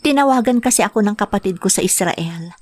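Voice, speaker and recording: An actress playing a young wife and mother who wants to work abroad to help her family, speaking gently and earnestly in a radio drama. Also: mid-range voice, animated, a little fast, lightly acted.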